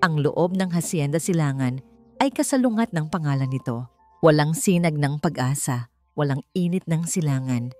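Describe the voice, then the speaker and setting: A woman storyteller reads a dramatic scripted romance. Her voice sits a little low, and music plays softly behind the narration. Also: animated, normal speed.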